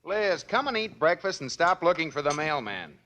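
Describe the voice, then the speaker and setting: An actor playing a teasing, jovial, excitable husband in a 1940s radio sitcom. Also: male voice, a little high, normal speed, music in the background. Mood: incredulous, amused. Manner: teasing, excitable, stammering in surprise. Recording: noisy vintage 1940s radio recording.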